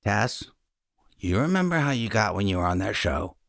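A cautious, concerned father in middle age, speaks in a lowish voice with very varied pitch. The recording is dry and close, in a family audio drama. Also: very animated, moderate pace, creaky, fairly bright tone, no echo, full character voice. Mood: worried.

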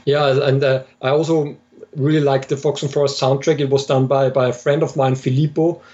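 A man from Austria, a game developer, talking in a casual interview and pausing often with "uh" while telling stories. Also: medium pitch, level tone. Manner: hesitant, many "uh"s, warm storyteller. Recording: dull lo-fi audio.

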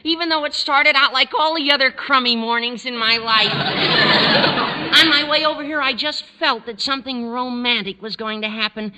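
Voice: sits high, moderate pace, in a large hall, heavy background noise, lightly acted. An actor playing a gawky, lovestruck sixteen-year-old boy, naive and gushing, in a 1940s radio comedy. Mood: smitten.

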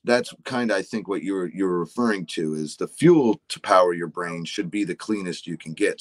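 Deep-voiced man in his forties explaining. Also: dry recording. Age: 40s. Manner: explanatory, frequent "right?" tags. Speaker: supplement company guest. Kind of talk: casual health explainer.

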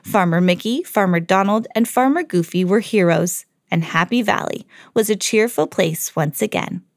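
A woman storyteller hosting a podcast, reading a children's fairy tale expressively with clear enunciation. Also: medium pitch, normal speed, no room sound, clean studio sound.

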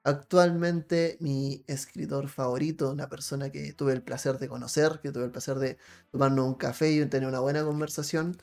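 A man's voice: mid-range voice, steady tone, moderate pace, gravelly, darkish tone. A man chatting casually and enthusiastically as host of a live role-playing stream on a video call. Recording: music playing behind the voice.

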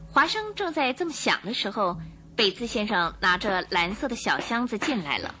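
A woman narrating a radio drama in an expressive, suspenseful storytelling manner. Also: mid-range voice, moderate pace, music in the background.

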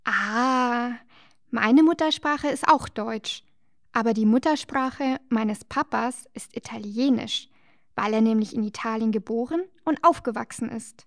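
Woman, children's co-host, cheerful explainer, slightly high pitch, dry sound. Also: unhurried, dry recording. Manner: bright, explaining, gently teasing. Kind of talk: scripted children's educational dialogue.

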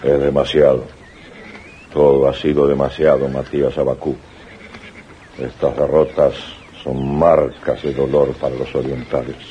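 A defeated gaucho general in a radio drama. He speaks slowly and gravely in a very deep voice, on a dull old recording. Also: flat delivery, a little hiss or hum. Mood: weary, bitter. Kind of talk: 1980s Uruguayan radio drama acting.

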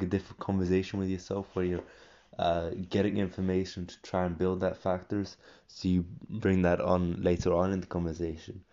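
A young man rambling casually about his day, self-correcting often, his voice croaky from a cold. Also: sits low, warm tone. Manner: rambling, self-correcting, frequent ums and restarts. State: croaky voice from a cold, coughing and clearing throat. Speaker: vlogger. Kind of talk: casual personal audio diary. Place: at home. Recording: dull lo-fi recording.

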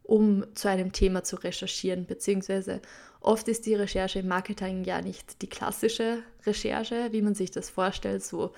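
A woman's voice: medium pitch, level tone, moderate pace, vocal fry, darkish tone. A woman in her twenties with an Upper Austrian accent, hosting a podcast in a chatty, casual way with many fillers and English loanwords. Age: 20s. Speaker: podcast host. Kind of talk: casual solo podcast talk.

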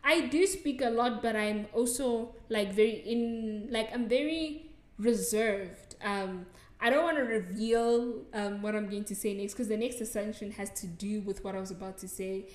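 A woman's voice: medium pitch, normal speed, darkish tone. Giggly South African woman podcaster, echoey. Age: young adult. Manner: chatty, giggly, with many likes and ums. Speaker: podcast host. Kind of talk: solo podcast answering listener assumptions. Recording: echoey room.

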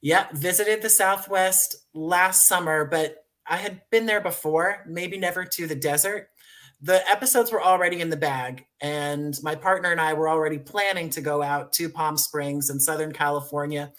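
Higher-voiced American man, effusive interview guest. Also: fairly even intonation, normal speed, a bit bright. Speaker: guest, audio drama writer and producer. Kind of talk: conversational interview.

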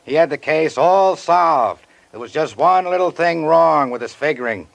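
A man's voice: fairly high pitch, lively intonation, moderate pace, gravelly. An American man playing a sardonic police sergeant in an old radio detective drama, needling others with dry, teasing sarcasm.

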